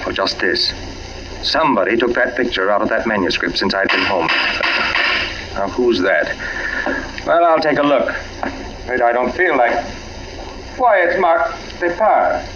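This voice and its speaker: An actor playing an uneasy, polite but probing husband in a 1940s radio mystery. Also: male voice, mid-range voice, lively intonation, measured pace, pauses often, natural voice. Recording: muffled, noisy 1940s radio recording.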